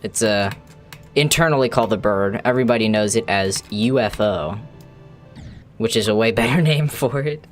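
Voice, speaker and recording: A man's voice: lowish voice, moderate pace, faint music behind. Chatty streamer man rambling mid-game. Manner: chatty, rambling, answers chat, swears. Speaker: gaming streamer. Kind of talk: casual live-stream commentary while playing.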